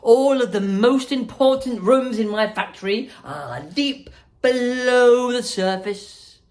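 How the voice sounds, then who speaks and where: A woman voicing an eccentric, excitable man who owns a chocolate factory, bossy and theatrical, in a children's storybook reading. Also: a little high, takes it slowly, pitched above the performer's own voice. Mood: urgent, proud. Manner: exclamatory, theatrical, hurrying others along.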